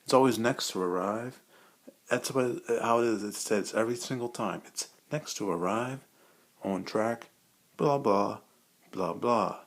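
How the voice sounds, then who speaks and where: A man with a slightly deep voice talks in an informal, rambling way. He sounds like an enthusiast sharing a hobby, often hesitating and repeating himself as he goes. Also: measured pace. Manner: hesitant, repetitive, enthusiastic fan talk. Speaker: hobby impressionist and vlogger. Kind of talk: casual rambling chat.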